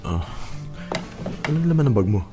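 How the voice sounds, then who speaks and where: An actor playing an earnest, emotive young boyfriend in a Filipino radio drama, with a Filipino accent. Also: male voice, medium pitch, normal speed, music in the background, natural voice. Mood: affectionate, curious. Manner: emotive, expressive. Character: hurt but loving young boyfriend, earnest.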